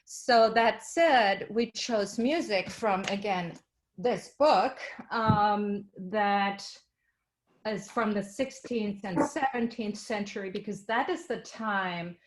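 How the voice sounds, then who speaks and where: A woman panelist explaining informally, hesitating and pausing to find her words. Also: mid-range voice, slight background noise, rough recording.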